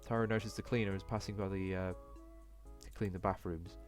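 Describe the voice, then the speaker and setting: Low-voiced man reading a visual novel aloud. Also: steady tone, fairly quick, soft background music. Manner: stumbling over read text, blunt indignant asides. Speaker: let's play host.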